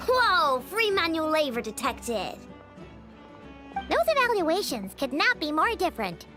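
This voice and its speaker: A tiny, cheeky video game companion, chatters in an extremely high, squeaky voice. The delivery is perky and childlike, leaping around in pitch over soft background music. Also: female voice, sing-song, unhurried, heavily acted. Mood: surprised, curious. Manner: perky, exclamatory, refers to self in third person. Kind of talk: video game character acting.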